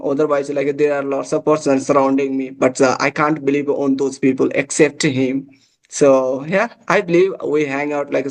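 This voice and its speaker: A man from Nepal with a Nepali accent and native Nepali, chatting casually in halting, repetitive English. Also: mid-range voice, darkish tone. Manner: halting, repetitive, says "like" often. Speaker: English conversation practice host. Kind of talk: casual conversational chat.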